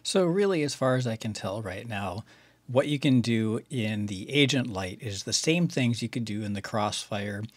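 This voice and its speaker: A man's voice: fairly low pitch, lively intonation, moderate pace, dry recording. Middle-aged American man, dry close sound. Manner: conversational, explanatory. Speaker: video tutorial host. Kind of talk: casual step-by-step tutorial.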